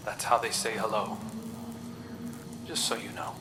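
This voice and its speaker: An actor playing a wry, easygoing veteran operative in scripted game dialogue, informal and understated. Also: male voice, lowish voice, fairly even intonation, a little slow, heavy background noise, lightly acted. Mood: wry, sincere.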